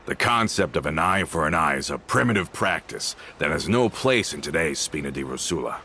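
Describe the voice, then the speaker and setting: An actor playing a loyal, principled retainer in a video game, formal and measured. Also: male voice, a bass voice, lively intonation, sharp tone, a little hiss or hum, full character voice. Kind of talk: video game character acting.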